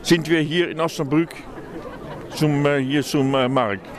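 Older Dutch-accented man, slow and hesitant, slightly high voice, crowd-noisy outdoor market. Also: fairly even intonation. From Netherlands. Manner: slow, hesitant, many fillers. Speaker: market visitor. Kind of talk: street interview. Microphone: handheld mic held close. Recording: loud crowd behind.

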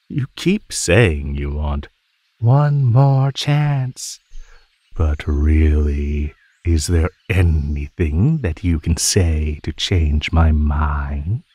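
Man playing a very deep, slow, menacing naga. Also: mellow, dry recording, exaggerated voice. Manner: slow, mocking, condescending drawl with drawn-out pauses. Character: teasing, menacing male naga predator toying with captive prey. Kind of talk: ASMR roleplay acting.

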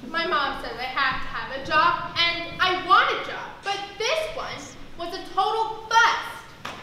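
Young woman playing a high, bright new-girl employee. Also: a little slow, slight background noise, full character voice. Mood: doubtful. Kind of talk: stage comedy acting. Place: school theater stage. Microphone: distant camera in the audience. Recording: echoey theater stage from far off.

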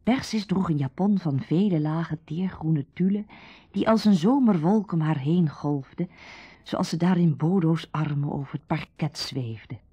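An actress playing the storyteller of a radio drama, narrating a summer tale. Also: female voice, sits low, unhurried, dark tone, husky. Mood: calm storytelling. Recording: dull, roomy old radio recording.

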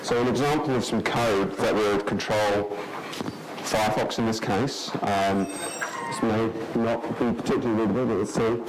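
Low-voiced man giving a tech talk. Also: moderate pace, background noise. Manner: relaxed, with many fillers and restarts. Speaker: conference speaker. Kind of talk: technical conference talk. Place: large lecture hall.

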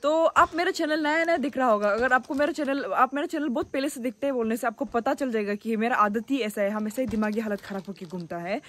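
A young woman from Arunachal Pradesh, chatting excitedly to her phone camera while vlogging around a hill village. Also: on the high side, fast talker, few pauses. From Arunachal Pradesh, India. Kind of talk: casual vlog narration.

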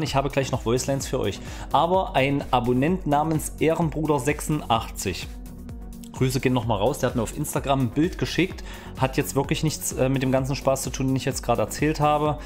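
A man in his thirties talks as a gaming YouTuber, informal and explanatory. His mid-pitched voice is close on a studio microphone in a home room, with faint music behind. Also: darkish tone. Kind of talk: casual video game news vlog. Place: small indoor home streaming room. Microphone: studio microphone on boom arm, close.

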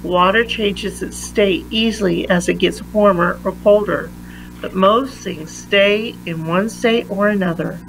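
A woman reads a picture book aloud for children at a slow, careful pace. She pauses between phrases, her voice sitting at a medium pitch. A faint hum of noise runs behind her. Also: low-quality recording. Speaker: read-aloud reader.

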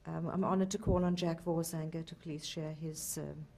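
Low, flat-voiced woman emcee. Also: moderate pace, creaky. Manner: polite and formal, with hesitant fillers. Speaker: event emcee. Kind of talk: formal introductions.

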